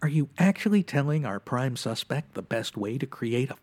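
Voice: medium pitch, animated, normal speed, a little mellow, natural voice. An actor playing a stern, curt detective. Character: stern police detective questioning a suspect.

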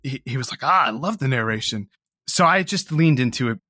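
A man talks reflectively as an interview guest in a mid-pitched voice. He is hesitant and self-deprecating, and the sound is close and dry. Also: animated, a little fast, pushed and bright, no room sound. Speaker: interview guest, audio drama creator. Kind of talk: casual reflective interview talk.

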